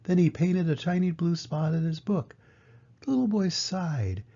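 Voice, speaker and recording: A man's voice: a little high, a little slow, mellow. An older man reading a children's picture book aloud as a grandfather, in storybook narration style. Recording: dull lo-fi home recording.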